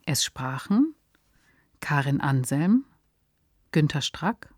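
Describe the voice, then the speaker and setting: A woman's voice: low voice, big pitch swings, slow pace, no echo, clean studio sound. A woman reading closing credits as a formal, scripted radio announcement.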